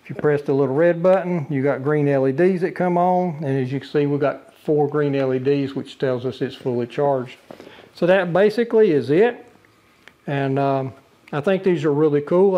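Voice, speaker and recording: A man's voice: fairly high pitch, highs cut off. An older man casually demonstrating a camping lantern in his living room, talking plainly with frequent uhs and restarts. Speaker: product reviewer. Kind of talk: casual product demo. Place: living room with a brick wall, indoors.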